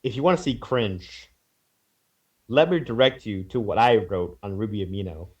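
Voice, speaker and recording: A man's voice: lowish voice, moderate pace, highs cut off. A man chatting casually as a podcast panelist, stammering, repeating himself and trailing off. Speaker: podcast panelist reviewing fan fiction. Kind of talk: casual chat.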